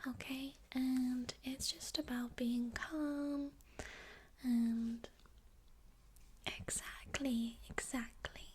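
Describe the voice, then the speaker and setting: A woman with a high voice speaks slowly and gently in an ASMR role-play, sliding between soft speech and breathy whispers. She keeps checking in with a calm "okay?", and a faint hiss sits under the recording. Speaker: ASMR creator.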